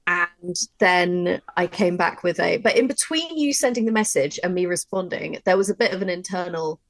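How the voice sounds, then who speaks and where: A woman's voice: fairly low pitch, lively intonation, moderate pace, no echo. A woman writer chatting informally and encouragingly in a conversational workshop discussion about her craft. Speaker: audio drama writer giving a workshop.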